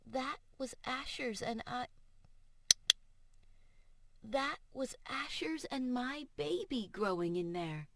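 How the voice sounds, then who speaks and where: A woman audiobook narrator reading first-person fiction in an expressive, emotive and deliberate way. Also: sits high. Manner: emotive, measured, deliberate delivery. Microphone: studio voice-over microphone.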